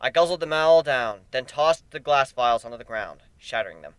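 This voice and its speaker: A man's voice: mid-range voice, expressive, normal speed, smooth, no room sound. A man reading a first-person fantasy story aloud as an amateur dramatic narrator. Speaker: amateur fan fiction reader. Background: background music.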